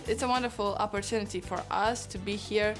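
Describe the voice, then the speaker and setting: Earnest young woman athlete, flat tone. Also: medium pitch, fairly quick, faint music behind. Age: young adult. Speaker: college basketball player. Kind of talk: interview.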